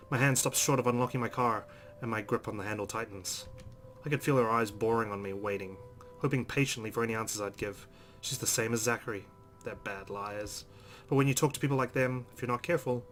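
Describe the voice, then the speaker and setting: A man's voice: medium pitch, a bit bright, slight background noise. A man hosting a let's play, expressively reading a visual novel aloud and adding his own asides.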